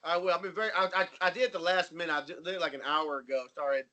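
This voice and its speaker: High, bright-voiced man chatting casually. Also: steady tone, quick pace, lo-fi sound. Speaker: podcast co-host. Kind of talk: casual chat.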